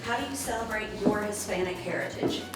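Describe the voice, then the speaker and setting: A woman off camera reading interview questions in a bright, brisk way. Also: mid-range voice. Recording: distant, very echoey, music behind.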